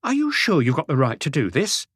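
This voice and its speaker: A man's voice: fairly high pitch, huge pitch swings, a little fast, a bit bright, breathy edge, dry recording, clean studio sound, pitched above the performer's own voice, exaggerated voice. A man voicing a suspicious, ill-tempered, defiant housewife who fires indignant questions in an audiobook. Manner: snappish, indignant, challenging questions. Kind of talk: audiobook character voice.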